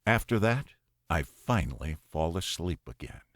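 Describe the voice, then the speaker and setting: A man reading a first-person short story as an audiobook narrator, with a measured, dramatic delivery. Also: deep voice, big pitch swings, no echo, clean studio sound.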